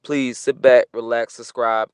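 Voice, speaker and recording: A man's voice: mid-range voice, level tone, unhurried, clear, resonant tone, no echo. A man reading a scripted show intro in an inviting, promotional tone. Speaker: show host.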